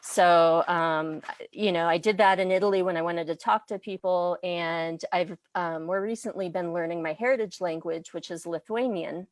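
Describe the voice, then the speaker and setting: A woman's voice: fairly low pitch, normal speed, hardly any pauses, no echo. A woman from the US Midwest with an American accent, chatting casually as an interview guest and language instructor. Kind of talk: casual interview chat about personal background.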